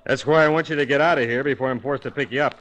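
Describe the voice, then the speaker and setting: An actor plays a brusque American male police inspector in a 1940s radio crime drama. He fires off his words quickly, clipped and authoritative, sounding harried and used to giving orders. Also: mid-range voice, fairly even intonation, a bit bright, close to an everyday voice. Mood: stern, urgent.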